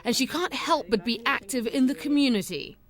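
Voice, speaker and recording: A woman presenting a television news magazine, reading scripted narration in a measured, formal way. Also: high voice, expressive. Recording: voice-over with music behind.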